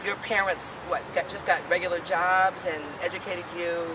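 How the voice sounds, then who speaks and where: A woman's voice: lowish voice, fairly even intonation, moderate pace. Chatty upbeat woman host interviewing outdoors, off-mic, traffic noise behind. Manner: chatty, upbeat, self-deprecating. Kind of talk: street interview. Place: outdoors in a city. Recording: distant mic with street noise.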